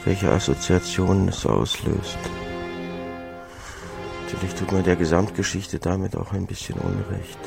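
A man's voice: low voice, fairly even intonation, a little slow, creaky, pauses often. A man delivering a reflective spoken radio essay, musing and rephrasing as he goes. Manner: musing, repeats and rephrases phrases. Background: music.

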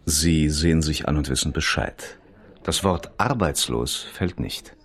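A man narrating a radio drama from a script in a measured, matter-of-fact manner. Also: extremely low pitch, slightly rough.

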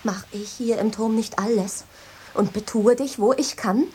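An actress in a German radio drama playing a proud, defiant former ship stewardess who speaks plainly. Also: female voice, on the high side, a little slow, warm tone, a little hiss or hum, lightly acted. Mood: reproachful.

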